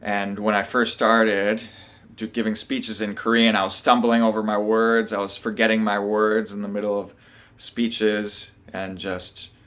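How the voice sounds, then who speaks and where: Earnest man chatting to camera. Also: fairly low pitch. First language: English. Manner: relaxed, earnest, thinking aloud with pauses. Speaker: vlogger. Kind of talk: casual vlog talk to camera. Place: his room at home.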